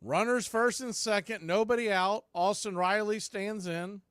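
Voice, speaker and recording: Man, American accent, higher voice, live baseball radio commentary, folksy homer, dry close sound. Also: steady tone, unhurried, a bit bright, clean tone, dry recording. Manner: partisan, folksy home-team commentary. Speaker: baseball play-by-play announcer.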